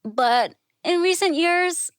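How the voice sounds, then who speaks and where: A woman's voice: very high voice, expressive, slow, no room sound, studio quality. A woman from Thailand speaking candidly and informally as a podcast guest, telling stories and often voicing quoted remarks. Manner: informal and outspoken, with frequent "like" and acted-out quotes. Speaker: podcast guest, classical musician and educator. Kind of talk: candid conversational storytelling.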